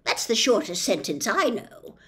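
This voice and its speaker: A woman voicing a fierce, pompous little male policeman from a storybook, blustering and accusing in loud, emphatic tones. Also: on the high side, very animated, normal speed. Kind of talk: storybook character voice.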